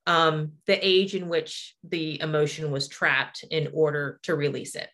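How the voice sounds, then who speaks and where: A woman in her forties with a distinctly low voice speaks in casual conversation. She explains things as a practising energy healer would to someone across from her. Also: level tone, unhurried, fairly bright tone. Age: 40s.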